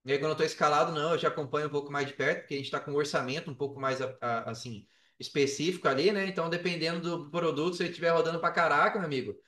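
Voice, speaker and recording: A man with a Brazilian accent in casual podcast chat, talking in a slangy, animated storytelling way. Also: a little high, very fast, hardly any pauses. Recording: roomy echo, distant from mic.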